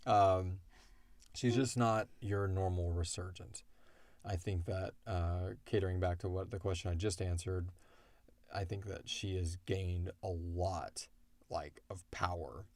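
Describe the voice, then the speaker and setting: A man with a deep voice and a creaky edge chats as a podcast co-host. He answers listener questions casually, wandering through explanations and joking along the way. The sound is dry, with little room in it. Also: steady tone, a little slow, darkish tone, dry recording. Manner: rambling, joking explanations with acted-out quotes. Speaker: podcast co-host and creator of an audio drama, answering fan questions.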